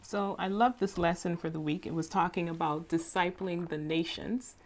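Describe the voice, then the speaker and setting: A woman hosting a casual group discussion with a fairly low voice. She sounds friendly and upbeat and keeps things moving with short encouraging replies. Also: fairly even intonation, moderate pace, darkish tone. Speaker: host.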